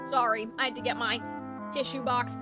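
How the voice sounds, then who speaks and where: A woman's voice: on the high side, steady tone, moderate pace. A woman giving chatty, rambling, reactive commentary while streaming a game. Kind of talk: casual live gameplay commentary. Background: music.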